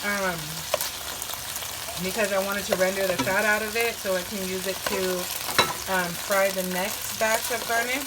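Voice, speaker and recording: A woman's voice: mid-range voice, steady tone, measured pace. Bawdy, joking woman cooking over a sizzling pan. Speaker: cooking vlogger. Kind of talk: casual cooking chat. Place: home kitchen with food frying. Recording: sizzling pan noise behind.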